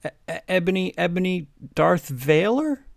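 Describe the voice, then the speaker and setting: A man's voice: sits high, lively intonation, moderate pace, creaky, no echo. A man co-hosting with casual reaction banter and dry, bemused commentary. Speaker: co-host.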